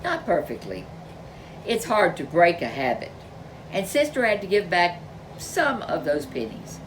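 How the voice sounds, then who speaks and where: A woman narrating a children's storybook in a deliberate, emphatic, expressive way. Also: on the low side, slight background noise, low-quality recording.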